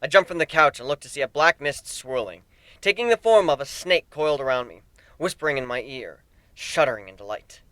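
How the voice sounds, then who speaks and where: Theatrical male narrator with a bright voice. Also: medium pitch, fairly quick, no room sound. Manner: theatrical, expressive. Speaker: amateur story narrator. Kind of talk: dramatic reading of fan fiction.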